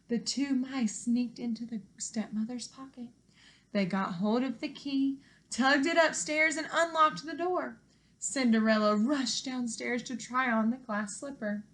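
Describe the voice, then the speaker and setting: A woman with a fairly high, bright voice talks like a primary school teacher to little kids. She is animated and encouraging, with simple, expressive phrasing suited to reading stories aloud and asking questions. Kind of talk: expressive children's read-aloud and lesson talk to young pupils. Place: living room at home.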